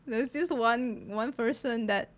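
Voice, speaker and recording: A woman's voice: a little high, slow. A woman giving casual, gushing reaction commentary as a streamer, chatting to viewers with lots of slang. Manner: gushing, slangy, addresses viewers as guys and bro. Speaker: streamer reacting to a game trailer.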